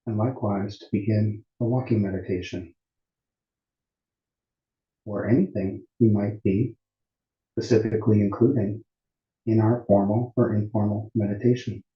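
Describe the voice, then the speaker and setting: A man teaching meditation at a retreat in a calm, deliberate, unhurried way with long pauses between phrases. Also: fairly low pitch. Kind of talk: guided meditation instruction at a retreat. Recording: muffled, roomy echoing sound.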